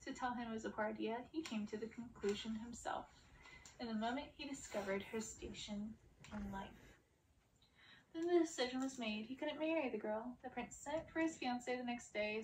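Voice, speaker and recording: Distant, higher-voiced woman reading a fairy tale. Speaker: reader of a story. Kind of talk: audiobook-style reading of a fairy-tale novel. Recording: distant mic with clear background noise.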